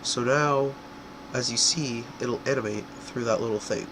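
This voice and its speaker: A young man with a stuffy, congested voice, talking casually through a screen-recorded software tutorial, full of fillers and quick self-corrections. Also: medium pitch, background noise. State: congested from a cold. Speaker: tutorial creator.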